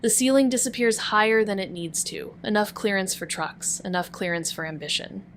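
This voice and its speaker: A woman's voice: mid-range voice, moderate pace, fairly bright tone, a little hiss or hum, natural voice. A woman voicing a wry young amateur sleuth who narrates a noir audio drama with dry, sardonic remarks. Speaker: narrator and investigator.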